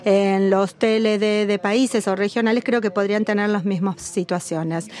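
A woman interpreting a formal meeting as it happens, in a measured, halting delivery that stops often to catch up with the speaker. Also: mid-range voice, steady tone, darkish tone. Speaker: simultaneous interpreter at a policy meeting.

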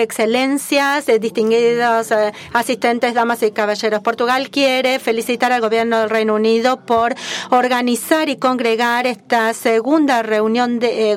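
A woman giving live simultaneous interpretation at a formal conference, measured and formal with frequent hesitations. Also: a little high.

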